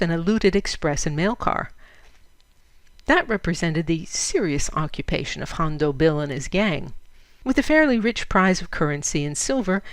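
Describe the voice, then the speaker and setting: A woman's voice: on the low side, no echo. A woman narrating a literary short story expressively, as in an audiobook.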